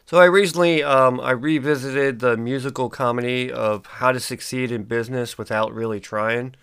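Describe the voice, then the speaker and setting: A man's voice: medium pitch, fairly even intonation, normal speed, fairly bright tone, clear, resonant tone. A man in his forties hosting a solo podcast, sounding congested and stuffy, rambling casually with lots of ums and uhs. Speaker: podcast host.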